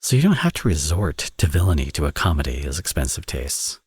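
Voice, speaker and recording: A man acts out a teasing villain turned doting father for an ASMR romance roleplay. His voice is low and husky, recorded close and dry. He sounds smug and playful, talking as if to an old flame. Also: very animated, a little fast, no echo, exaggerated voice. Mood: earnest, persuasive. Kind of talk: ASMR audio roleplay, romantic drama acting.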